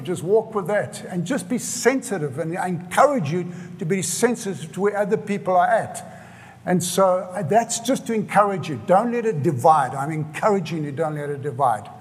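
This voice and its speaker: Older South African male pastor, high-pitched, earnest sermon delivery with repetition; voice rings in a hall with long reverb. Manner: earnest, repeats phrases for emphasis.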